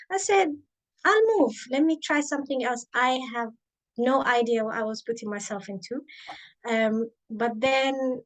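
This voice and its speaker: A woman in her thirties, raised in the Emirates, telling her career story in an interview, thoughtful and pausing with many ums. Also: a little high, poor audio. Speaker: guest, biomedical scientist and author. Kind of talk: interview, reflective personal story.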